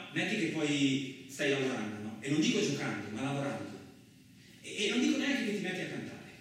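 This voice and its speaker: Man acting gruff trucker, very echoey and distant. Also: mid-range voice, a bit bright, close to an everyday voice. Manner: colloquial, rambling, repetitive, coarse interjections. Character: gruff, ranting male truck driver, seasick and fed up. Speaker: stage and radio actor. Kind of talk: theatrical radio monologue. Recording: very echoey, distant, noisy room recording.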